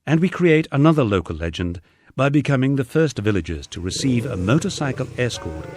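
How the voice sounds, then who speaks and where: A man's voice: medium pitch, very animated, fairly quick, darkish tone, husky, dry recording, studio quality, soft background music. A man reading a humorous memoir as scripted audiobook narration, with a wry storytelling manner.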